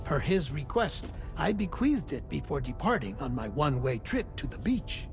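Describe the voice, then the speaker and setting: An actor playing a genial undead man's soul in a borrowed body, speaking in a deliberate, theatrical way in a video game. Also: on the high side, sing-song, vocal fry. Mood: solemn, explanatory. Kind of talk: dramatic video game acting. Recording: music behind the voice.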